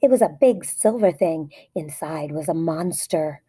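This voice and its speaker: A woman reads a children's story aloud in short, plain sentences. Her voice sits slightly low for a woman and has a dark, soft tone with a noticeably husky texture. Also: animated, unhurried. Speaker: storybook reader. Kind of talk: scripted children's story narration.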